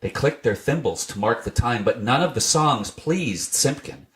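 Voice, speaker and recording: A man narrating a children's storybook in an expressive, scripted reading style. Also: medium pitch, normal speed. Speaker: storybook reader.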